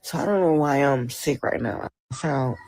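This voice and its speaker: A woman's voice: sits low, animated, measured pace, vocal fry, a little mellow. A woman vlogging from bed with a cold, congested and annoyed as she complains. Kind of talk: casual vlog. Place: lying in bed.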